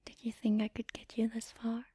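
A woman speaking in an intimate, commanding and teasing erotic ASMR style, in a breathy whisper close to the mic. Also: fairly high pitch, completely flat delivery, brisk, dark tone. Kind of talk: erotic ASMR, guided audio roleplay.

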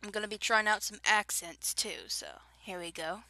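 A woman's voice: medium pitch, steady tone, moderate pace, bright, forceful tone, no echo. A young woman with a Southern drawl, chatting casually on her own recording, giggling and rambling with frequent restarts. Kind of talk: casual chat recorded alone.

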